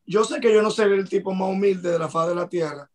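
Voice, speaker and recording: A man with a Dominican Spanish accent, telling stories in a relaxed way in a casual panel chat. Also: high-pitched, quick pace, a crowd in the background. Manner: relaxed storytelling. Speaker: podcast panelist.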